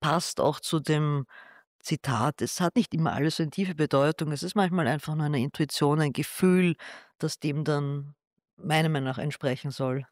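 A man's voice: a little high, normal speed, no room sound, studio quality. A man talking about his own artwork in an interview, thoughtful and hesitant, often restarting his sentences. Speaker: artist showing his exhibition. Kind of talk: gallery interview.

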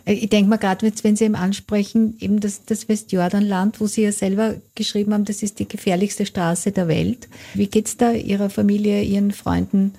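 A woman's voice: mid-range voice, flat delivery, a little fast, gravelly, hardly any pauses, dark tone. A woman interviewing an author, asking curious, probing questions with a few hesitations.

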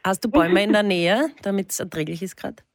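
A woman, co-hosting a radio show and reading absurd horoscopes in a mock-earnest, deadpan way. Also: mid-range voice, a little fast, no room sound, studio quality.

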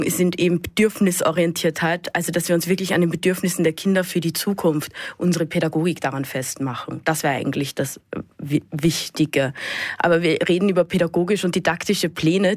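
A woman's voice: lowish voice, fairly even intonation, brisk, no room sound. A woman teacher, a talk show guest who speaks candidly with many fillers and restarts.

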